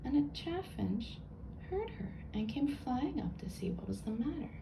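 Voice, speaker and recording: A woman reading a children's book aloud with expressive narration. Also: sits high, normal speed, vocal fry, background noise.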